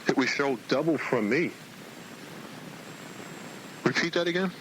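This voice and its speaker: A man in a halting, questioning phone conversation, heard on an archival home tape from the 1990s. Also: on the high side, fairly bright tone, slight background noise, rough recording.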